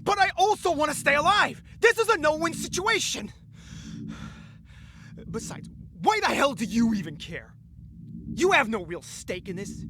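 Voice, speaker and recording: A man's voice: very high voice, big pitch swings, moderate pace, pauses often, exaggerated voice. A man playing an earnest, lovestruck and stubbornly determined ordinary guy in an amateur audio drama, emotional, hesitant and then insistent. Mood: exasperated.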